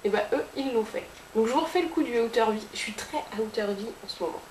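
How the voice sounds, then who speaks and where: A woman's voice: a little high, fast, a little mellow. A woman giving a casual, playful makeup tutorial with a chatty manner. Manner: chatty and playful, with sound effects and jokes. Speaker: beauty vlogger. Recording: echoey room with faint hiss.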